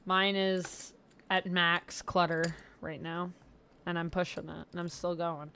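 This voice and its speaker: A woman's voice: lowish voice, level tone, takes it slowly, pushed and bright, a little hiss or hum. A young woman, a bit sniffly, chatting casually and telling rambling stories while she colors on a livestream. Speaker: YouTuber host.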